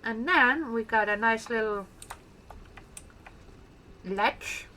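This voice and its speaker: A woman's voice: fairly high pitch, takes it slowly, pushed and bright, slight background noise. A woman with a Danish accent, a native Danish speaker, chatting casually and playfully as she shows off her build in gameplay commentary. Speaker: gaming channel host.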